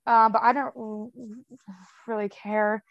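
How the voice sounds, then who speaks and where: A woman's voice: fairly high pitch, little pitch movement, slow pace, no echo, muffled recording. A young woman talking casually as a podcast guest, with a marked stutter of repeated sounds and blocks and a frank, chatty manner full of fillers. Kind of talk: casual conversational interview.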